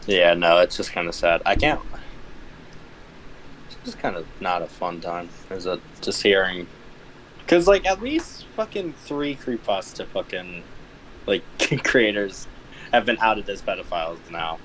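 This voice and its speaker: A man's voice: deep voice, animated, measured pace, a bit bright, a little hiss or hum, rough recording. A man chatting casually on a livestream, blunt and sweary, with hesitant phrasing.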